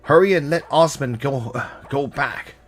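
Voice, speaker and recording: A man's voice: mid-range voice, moderate pace, faint music behind, voice pushed up, natural voice. A man voicing an earnest, determined young male hero in a game, reading lines with a raised voice.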